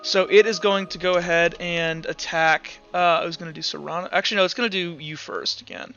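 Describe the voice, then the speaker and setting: High-voiced, bright man running a tabletop game. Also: fairly even intonation, brisk, creaky. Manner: informal, vivid gory description, checks rules aloud. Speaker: game master. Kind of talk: running an online tabletop roleplay session, casual narration. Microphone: online voice call. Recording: dull online voice-chat audio with music behind. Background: music.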